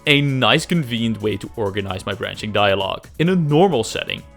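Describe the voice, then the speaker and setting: A man's voice: on the low side, animated, fairly quick, creaky, a bit bright, faint music behind. A man narrating a scripted, comedic game development video with self-deprecating, exaggerated emphasis and some swearing. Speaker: host, amateur game developer narrating a devlog.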